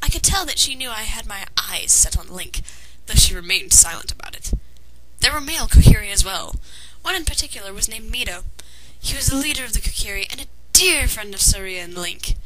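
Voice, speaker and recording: A woman's voice: on the high side, animated, brisk. A woman reading a fan fiction story aloud as narrator, in a dramatized way. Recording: noisy amateur home recording.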